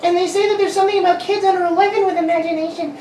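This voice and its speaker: A woman's voice: very high-pitched, fairly even intonation, very fast, darkish tone. A woman playing an eager, secretive young girl with an earnest, hesitant, childlike way of talking, in a radio drama. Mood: earnest, excited. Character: young daughter under eleven, eager, secretive, imaginative. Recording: roomy, distant mic, faint noise.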